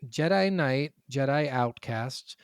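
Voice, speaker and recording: A man's voice: mid-range voice, animated, slow pace, clean tone, no room sound, studio quality. A man co-hosting a gaming podcast, chatting casually in rambling explanations peppered with swearing. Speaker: podcast co-host. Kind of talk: casual chat about video games.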